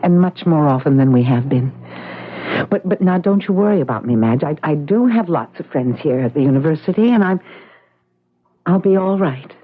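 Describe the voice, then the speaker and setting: An actress playing a subdued, haunted widowed university teacher in an old-time radio drama. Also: female voice, deep voice, expressive, moderate pace. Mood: hesitant, subdued. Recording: narrow-band vintage radio recording.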